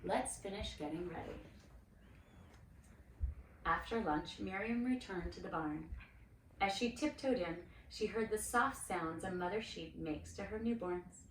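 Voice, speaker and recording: A woman reading a children's story aloud expressively, with dramatic, deliberate phrasing. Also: medium pitch, fairly bright tone. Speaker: storybook reader, cantor. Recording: roomy, distant mic with faint noise.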